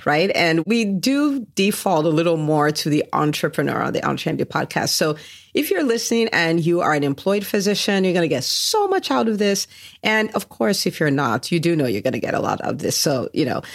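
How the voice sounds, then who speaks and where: An upbeat woman hosts a podcast with emphatic, promotional energy. She speaks straight to her listeners in a mid-pitched voice. The sound is dry, with very little room in it. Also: dry recording. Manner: enthusiastic, emphatic, addresses listeners directly. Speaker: podcast host.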